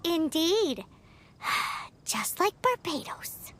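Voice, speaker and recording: Squeaky, very high-pitched childlike fairy sidekick. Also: female voice, big pitch swings, very slow, a bit bright, a little hiss or hum, exaggerated voice. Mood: cheeky, grudging. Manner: speaks of herself in the third person. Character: tiny floating fairy girl companion, childlike, chatty, cheeky. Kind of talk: animated video game character acting. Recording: music playing behind the voice.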